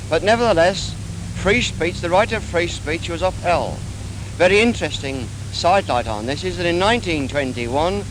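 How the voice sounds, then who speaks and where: A man speaking formally and deliberately in a political interview, like a seasoned public speaker. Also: high-pitched. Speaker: city councillor. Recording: noisy 1950s archive film sound.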